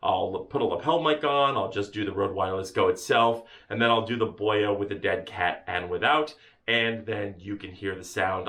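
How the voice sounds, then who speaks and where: A man with a deep booming voice giving a chatty, enthusiastic camera gear review, recorded on a changing mix of camera, lapel and wireless mics. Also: a bit bright. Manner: enthusiastic, chatty with many fillers. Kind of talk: casual product review. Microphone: changing mics: built-in camera mic, lapel and wireless mic.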